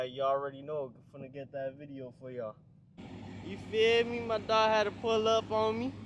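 A young man vlogging casually to his viewers in heavy street slang, getting loud and hyped outdoors around cars. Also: fairly high pitch, background noise. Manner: hyped slang-heavy street talk, yelling when excited. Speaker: car vlogger. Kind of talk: casual vlog talk to viewers.

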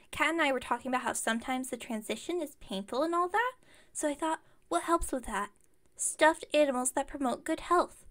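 A woman voices a bubbly young witch who runs a magic shop in an ASMR audio roleplay. Her voice is high with plenty of vocal fry. She rambles on eagerly and amiably, speaking straight to the listener as a customer. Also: a bit bright. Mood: chatty, eager. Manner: chatty, rambling, enthusiastic. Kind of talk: ASMR roleplay, one-sided conversation with listener.

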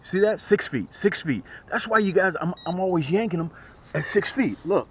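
A man hosting a casual fishing vlog from a small boat on a lake, excitable and repetitive, often dropping to a hushed voice as he talks straight to viewers. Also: high voice, normal speed. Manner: drops to a hushed voice on the water. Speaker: fishing channel host. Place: small boat on a lake outdoors, early morning rain. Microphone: action camera mounted on the boat. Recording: dull lo-fi camera audio with faint outdoor noise.

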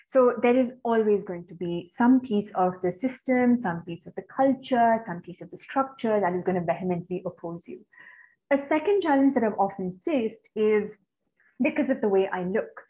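A soft-voiced woman from India, answering a webinar question in an earnest, reflective, articulate way over a video call. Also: mid-range voice, expressive, normal speed. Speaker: guest speaker. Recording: slightly dull video-call audio.

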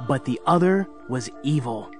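A man's voice: mid-range voice, lively intonation, normal speed, darkish tone. A man narrating an audio story in a dramatic, scripted storytelling style. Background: music.

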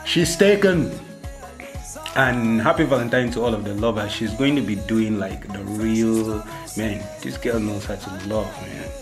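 A man's voice: fairly low pitch, unhurried. A young man co-hosting a casual video chat in a small room, teasing and playful with loose rambling talk. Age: young adult. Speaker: vlog co-host. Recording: music playing behind.

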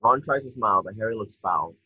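A man with a husky voice reading screen directions as narrator at a table read over a video call. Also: on the low side, expressive, fast, bright, forceful tone, no room sound. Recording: muffled lo-fi video call audio.

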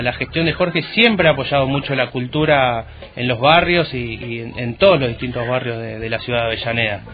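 A male radio host with a Rioplatense Argentine accent chats through an interview at a middling pitch. He halts and repeats words as he goes. The sound is slightly muffled and lo-fi, with music behind.

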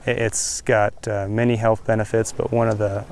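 A man's voice: fairly low pitch, level tone, normal speed, a little mellow, slight background noise. A man in his thirties from Wyoming, a rancher in a documentary interview, talking earnestly with many restarts and fillers. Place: indoor room.

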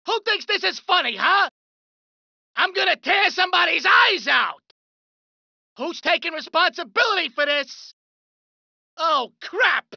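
A woman's voice: very high voice, pushed and bright, no room sound, exaggerated voice. A woman voicing a hot-tempered male mafia street thug from a video game, brash and profane. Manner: brash, profane, swaggering tough-guy talk. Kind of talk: reciting video game pedestrian lines. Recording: dull lo-fi dry recording.

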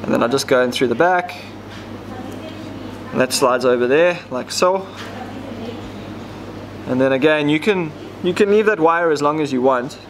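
A man's voice: fairly high pitch, moderate pace, long pauses, background noise. A man, casually explaining step by step how to rig fishing gear, with plenty of ums. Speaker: fishing tackle demonstrator. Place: indoor tackle shop counter.

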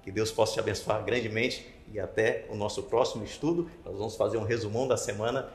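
A man in his thirties giving a devotional Bible lesson to camera, expressive with emphatic rising questions, ending in a quiet prayer. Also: fairly low pitch, fast, slight background noise. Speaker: Bible study teacher. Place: indoor studio with wooden slat wall. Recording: roomy with long reverb.

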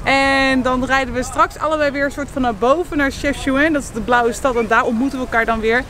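A woman's voice: high-pitched, fairly even intonation, fairly quick, a bit bright. A woman in her thirties talking chattily to a camera she holds at arm's length outdoors, explaining her travels. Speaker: vlogger. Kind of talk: casual travel vlog. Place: outdoors, sunny campsite. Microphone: camera held at arm's length. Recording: noisy outdoor camera audio.

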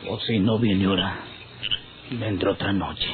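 An actor playing a rural hired killer lying in ambush, in a radio drama. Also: male voice, low voice, steady tone, unhurried. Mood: waiting. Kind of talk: old radio drama acting. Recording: very noisy, tinny old radio recording.

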